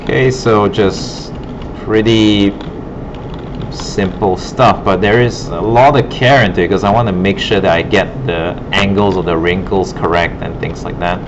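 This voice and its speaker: A low, deep male voice walks a class through a demo in a casual, think-aloud way. The sound is dull and muffled, with clear background hum from the classroom. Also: a little slow. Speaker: digital art instructor. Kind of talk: informal classroom demonstration, narrating while working. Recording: muffled, broken audio with loud room hum.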